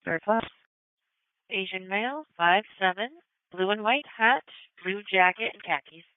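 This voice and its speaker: A woman's voice: mid-range voice, moderate pace, narrow, tinny audio. A woman working as a police dispatcher, speaking in clipped, procedural radio calls over a scanner feed. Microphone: two-way radio dispatch mic. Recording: thin band-limited police scanner radio.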